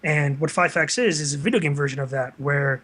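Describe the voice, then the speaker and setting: Male interview guest, slightly high and very bright voice, earnest explainer in a casual conversation. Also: a little fast. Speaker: guest, online video series creator.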